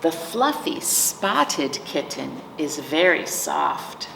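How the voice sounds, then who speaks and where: A woman's voice: low-pitched, very animated, extremely slow, gravelly, a bit bright. A woman hosting storytime, reading picture books aloud to young children with simple explanations and questions. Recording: roomy, distant mic, faint noise.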